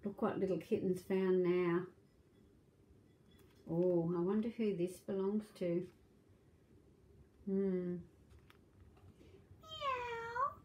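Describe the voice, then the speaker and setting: A woman's voice: fairly low pitch, little pitch movement, slow, long pauses, dark tone, highs cut off. A middle-aged woman reading aloud to young children as a storytime host, in a simple, questioning, child-directed manner. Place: small domestic room indoors.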